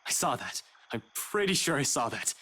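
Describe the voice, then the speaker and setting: Rattled young man, sharp bright voice. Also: medium pitch, big pitch swings, normal speed, breathy edge, exaggerated voice. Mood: shaken, panicked. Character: rattled, defensive young man, sarcastic under panic. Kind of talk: voiced visual novel dialogue.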